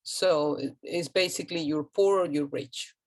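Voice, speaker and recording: Low-voiced woman, hesitant. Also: moderate pace. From Colombia. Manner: hesitant, many ums and restarts. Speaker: guest. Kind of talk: casual interview conversation.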